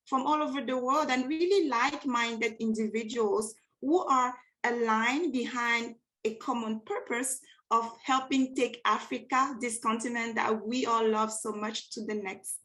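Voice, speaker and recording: Woman event host w a highish voice, welcoming ppl and introducing a speaker, upbeat and emphatic. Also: a bit bright. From Cameroon and France. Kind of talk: welcome speech at an online networking event.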